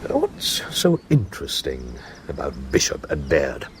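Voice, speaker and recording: An actor playing a dry, needling, quietly menacing investigator in a radio drama. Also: male voice, rumbling low, wildly swooping pitch, a little hiss or hum, full character voice. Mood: sardonic, probing. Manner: sardonic, deliberate, needling.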